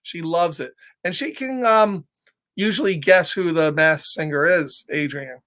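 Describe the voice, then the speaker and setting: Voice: mid-range voice, a little slow, a bit bright. A children's storyteller reading a picture book casually, in simple repeated phrases, checking in with listeners.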